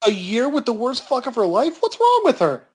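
A man's voice: extremely high pitch, huge pitch swings, normal speed, breathy edge. A man co-hosting a podcast in casual comic banter. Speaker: podcast co-host.

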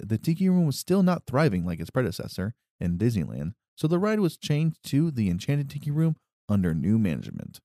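A man's voice: medium pitch, dark tone, no echo, studio quality. A man co-hosting a podcast, reading a history segment from notes with small stumbles, then chatting casually. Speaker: podcast co-host.

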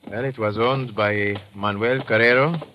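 Guy playing formal panamanian police lieutenant, lowish voice, old muffled radio drama. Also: fairly even intonation, moderate pace, a little hiss or hum, natural voice. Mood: polite, formal. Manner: formal, deferential, precise. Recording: muffled old radio recording, loud music.

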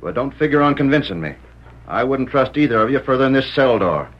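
An actor playing a stubborn, suspicious sheriff with folksy cowboy talk in an old radio Western. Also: male voice, medium pitch, animated, brisk. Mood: stern, distrustful. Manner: gruff cowboy drawl, folksy slang. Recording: muffled, hissy vintage radio recording.

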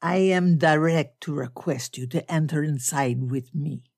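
A woman voicing a courtly old bearded foreign gentleman in an audiobook, with stilted, formal phrasing. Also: low-pitched, a little slow, no room sound, studio quality. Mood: courteous, formal.

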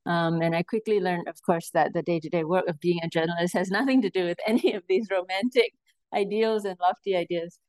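A woman's voice: lowish voice, steady tone, a little fast, a little mellow, no room sound. A woman from Singapore, an academic, answering interview questions thoughtfully with frequent hesitations. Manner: thoughtful, many ums and sort-ofs. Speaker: author, professor.

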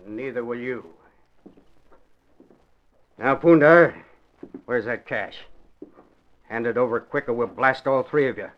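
Actor as a curt, menacing radio gangster. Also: male voice, mid-range voice, measured pace, long pauses, lightly acted. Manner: curt, threatening. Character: hard, menacing gunman demanding stolen cash. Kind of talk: 1940s radio crime drama acting. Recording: dull vintage radio recording.

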